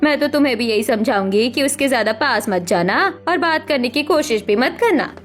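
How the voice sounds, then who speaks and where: Woman playing a high-voiced, two-faced mother-in-law. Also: expressive, moderate pace, faint music behind. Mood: disdainful. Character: two-faced, scolding middle-aged Indian mother-in-law. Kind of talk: cartoon family drama voice acting.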